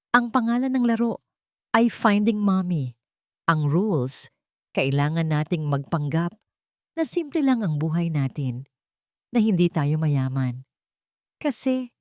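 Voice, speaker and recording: Woman doing a soft dad talkin to his kid, gentle and reassuring, storybook. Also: fairly low pitch, no room sound, studio quality. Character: loving rich father posing as a poor man.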